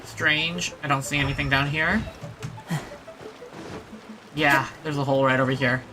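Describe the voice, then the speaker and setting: A woman's voice: a bass voice, steady tone, unhurried, low-quality recording. A woman streamer giving casual playthrough commentary, muttering to herself and to the game, with game music behind her. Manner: talks to self and to the game. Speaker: gaming streamer.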